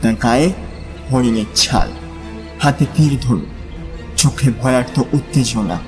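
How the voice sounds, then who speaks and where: A man narrating a dramatized audio story with measured, suspenseful phrasing. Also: mid-range voice, soft background music.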